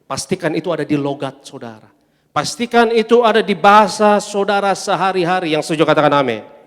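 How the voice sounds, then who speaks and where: A man preaching a sermon as pastor, emphatic, swelling loud on his refrains and calling the congregation to say amen. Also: sits high, expressive, fairly quick. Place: large church hall. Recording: long church-hall reverb.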